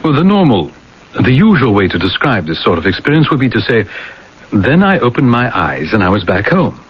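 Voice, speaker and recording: An actor playing a puzzled, insistent but patient psychiatrist, questioning precisely, in a 1980s radio drama. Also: male voice, mid-range voice, sing-song, fairly quick, slightly rough. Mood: probing. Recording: dull, lo-fi old radio recording.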